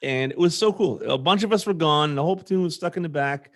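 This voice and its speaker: A man's voice: fairly high pitch, quick pace. A man with an American accent hosting a podcast, telling personal stories in a casual, earnest way. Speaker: podcast host; former army platoon leader.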